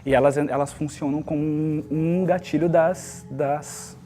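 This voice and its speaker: A man giving an interview, haltingly, repeating words as he goes. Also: fairly high pitch, steady tone, mellow, faint music behind. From Brazil. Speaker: stage actor.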